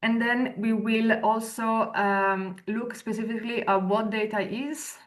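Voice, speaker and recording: A woman's voice: on the high side, steady tone, a little slow. Woman, 30s, Italian accent, webinar presenter, explanatory, brief hesitations, video call mic. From Italy. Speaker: researcher and lecturer presenting a webinar. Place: indoor room at home or office. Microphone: video call, laptop mic.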